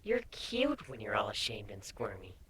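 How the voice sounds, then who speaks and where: A man's voice: fairly low pitch, wildly swooping pitch, normal speed, fairly bright tone, breathy edge, heavily acted. A man voicing a playful, food-loving young female pony wife in a teasing, earnest storybook reading. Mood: affectionate teasing.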